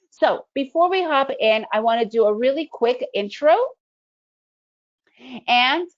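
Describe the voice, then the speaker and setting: A woman's voice: fairly high pitch, lo-fi sound. A middle-aged woman, originally from the Soviet Union and a native Russian speaker, presenting a trading webinar in a conversational way, often asking "right?". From Soviet Union, raised in the United States. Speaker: day trading educator. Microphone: video call.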